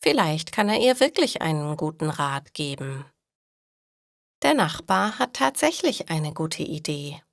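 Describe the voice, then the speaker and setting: A woman narrating scripted stories for language learners in a deliberate, clearly phrased way. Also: low-pitched, big pitch swings, no echo, studio quality. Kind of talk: scripted narration, educational storytelling.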